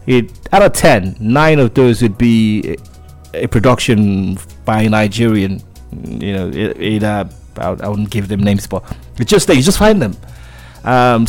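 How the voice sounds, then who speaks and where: A man's voice: mid-range voice, a little mellow. Chatty male radio host, faint music behind. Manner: chatty, filler-heavy, many restarts. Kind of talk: radio entertainment news chat.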